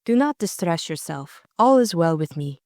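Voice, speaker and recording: A woman's voice: lowish voice, very animated, normal speed, no room sound, studio quality. Woman playing a languid, theatrical Hungarian countess. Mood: soft, reassuring. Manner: murmuring, soft, dramatic. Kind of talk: audiobook character voice.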